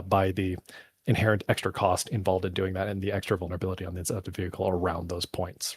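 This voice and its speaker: Low-voiced, tiring game developer. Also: male voice, darkish tone, breathy edge. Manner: rambling, technical, thinking aloud. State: voice getting tired after three hours. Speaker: game developer answering community questions. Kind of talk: casual live developer Q&A.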